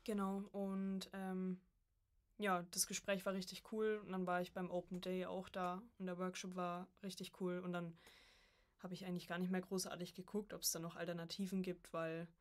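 Female, early 20s, slightly low pitch, informal and chatty podcast guest. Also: little pitch movement, normal speed. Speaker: podcast guest, voice acting student. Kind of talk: casual conversational interview.

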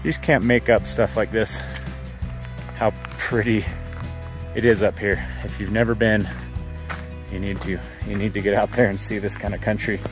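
A man's voice: lowish voice, flat delivery, normal speed, pauses often, music in the background. A man in his thirties, a hiker, narrating a casual vlog outdoors. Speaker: hiker and vlogger. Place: outdoors in open mountains.

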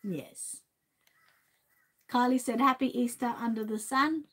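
A woman in her forties with an Australian accent, reading a storybook aloud to a toddler in a gentle, coaxing way, seated indoors close to the camera. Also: fairly high pitch, takes it slowly. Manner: gentle, sing-song, coaxing. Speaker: channel host and grandmother. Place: indoor room with shelves, seated. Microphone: fixed camera at close range.

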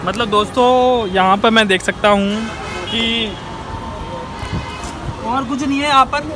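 A man's voice: very high-pitched, a little slow. A man, presenting a casual vlog to camera on an open rooftop. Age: 20s. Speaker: vlogger. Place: open rooftop cafe above a busy street. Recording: clearly noisy outdoor recording, street traffic.

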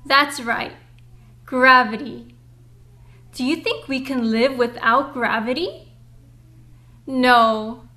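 A young woman presenting and reading for young children in an upbeat, clearly enunciated way, as a storytime host. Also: high voice, takes it slowly, pauses often, pushed and bright. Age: young adult. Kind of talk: children's read-aloud and presenting.

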